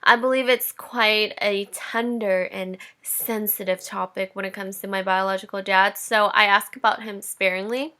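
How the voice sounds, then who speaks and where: Bright-voiced young woman telling her story. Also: mid-range voice. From Indonesia. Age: 20s. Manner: candid and reflective, with light laughs. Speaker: podcast host. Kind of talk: personal storytelling podcast.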